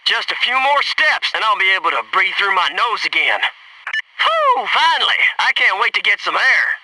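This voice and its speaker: A young American Navy SEAL for a shooter game, cocky and wry. His voice is high and very animated, with punchy, eager wisecracking. It sounds set back from the mic, with faint noise. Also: normal speed, far from the mic. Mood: wry, joking. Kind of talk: video game military voice acting.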